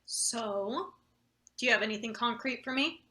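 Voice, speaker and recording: A woman's voice: fairly high pitch, moderate pace, pushed and bright. A woman playing a coldly manipulative, impatient boss in a satirical play reading, with a pointed, insinuating delivery. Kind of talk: table read of a satirical stage play.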